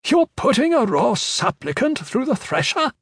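A man voicing an imperious, sharp-tongued old woman magister who scolds everyone around her. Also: sing-song, moderate pace, slightly rough, no echo, clean studio sound, heavily acted. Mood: indignant. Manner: scolding, shrill.

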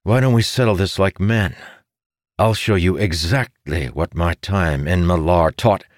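A man's voice: deep voice, a little slow, no echo, studio quality, pitched above the performer's own voice. A man voicing a spoiled, hot-tempered younger brother in an audiobook, terse and sarcastic. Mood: angry, defiant. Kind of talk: audiobook character voice.

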